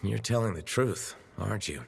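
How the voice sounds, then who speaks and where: An actor playing a calm, earnest male freelancer in a video game cutscene. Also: lowish voice, normal speed, gravelly. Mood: amused.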